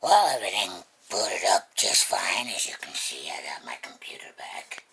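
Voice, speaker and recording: A vlogger with a very husky, breathy, gravelly voice that often drops near a whisper, chatting casually and rambling along at home. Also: low voice, very animated, normal speed, pushed and bright. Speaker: vlogger, host of the channel. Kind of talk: casual unboxing vlog chat. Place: indoors at home.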